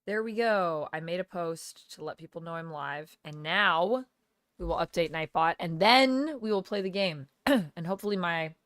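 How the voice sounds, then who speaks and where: A woman streamer under the weather with a cold, talking casually with a gravelly, smoky voice as she chats with viewers and thanks them by name. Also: on the low side, moderate pace, a bit bright, no echo. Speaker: live streamer. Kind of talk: casual live-stream chat, reading viewer comments. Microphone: gaming headset.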